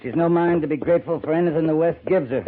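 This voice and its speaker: Actor as a terse cavalry captain, noisy old radio. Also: male voice, a little high, lightly acted. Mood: reflective, plain. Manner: terse, measured, matter-of-fact. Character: male cavalry captain, firm, plain-spoken, stern but compassionate. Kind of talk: 1950s Western radio drama acting. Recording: noisy, narrow-band 1950s radio broadcast.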